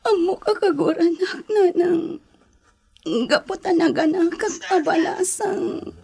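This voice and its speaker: A woman's voice: very high-pitched, a little slow, gravelly, a little mellow, full character voice. An actress with an Ilocano accent playing a troubled, bitter young unwed mother in a melodramatic radio drama style. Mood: tearful exasperation. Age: young adult. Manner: melodramatic, aggrieved.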